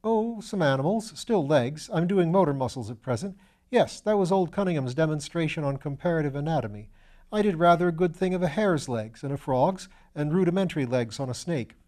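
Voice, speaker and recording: A man's voice: fairly high pitch, expressive, brisk, a little mellow, pitched above the performer's own voice, natural voice. A man reading a storybook as a flustered, eager, self-deprecating young upper-class English student who talks in old-fashioned slang. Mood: recalling, eager.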